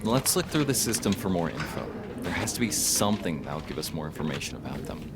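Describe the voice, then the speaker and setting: A man's voice: low voice, big pitch swings, brisk, heavy background noise. An actor playing a dry-witted male police detective talking through a case with his partner. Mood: matter-of-fact. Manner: dry, wisecracking. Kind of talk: audio drama dialogue.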